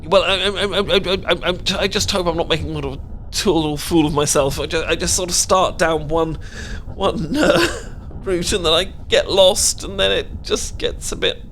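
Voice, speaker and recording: A man's voice: sits high, normal speed, hardly any pauses, fairly bright tone, slight background noise. A British man playing a nervous, bookish man in an audio romance roleplay, stammering and rambling in a flustered way.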